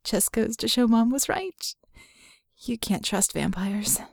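A woman's voice: fairly high pitch, takes it slowly, gravelly, dry recording, studio quality, exaggerated voice. A woman voicing a guarded, sardonic young female mage in an audiobook, telling her story with wry candor. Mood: wry, bitter confession. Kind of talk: audiobook character dialogue.

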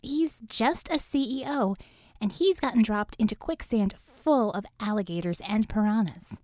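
A woman's voice: mid-range voice, expressive, normal speed, dark tone, no echo, clean studio sound, natural voice. A woman voicing an outspoken, feisty young female superhero with a blunt, informal and emphatic way of talking in an audiobook. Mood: earnest, tentative.

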